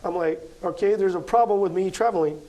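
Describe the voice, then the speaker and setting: Mellow, high-voiced American man explaining. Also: quick pace, muffled recording. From Las Vegas, United States. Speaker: guest astrology card reader. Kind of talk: casual interview chat.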